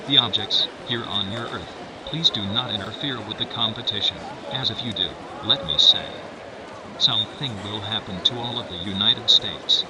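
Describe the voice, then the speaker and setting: A man voicing a talking speaker box announcer, formal, flatly polite and ominous, in a scripted announcement. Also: fairly low pitch, close to an everyday voice. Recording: loud outdoor traffic-like noise.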